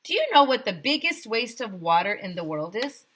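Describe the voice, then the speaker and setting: A woman's voice: medium pitch, very animated, normal speed, a bit bright, husky, pitched above the performer's own voice. A woman voicing a bossy, penny-pinching male town mayor in a children's storybook, declaiming emphatically. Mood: scornful, emphatic. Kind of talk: children's storybook read-aloud.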